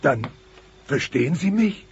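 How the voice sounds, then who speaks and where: A man's voice: on the high side, very animated, a little slow, slightly rough, heavily acted. An actor playing a timid, naive, good-hearted dinosaur father in a children's audio drama. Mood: naive, hopeful. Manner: stammering when nervous, naive. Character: timid, simple, good-hearted working-class dinosaur dad. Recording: dull lo-fi cassette transfer.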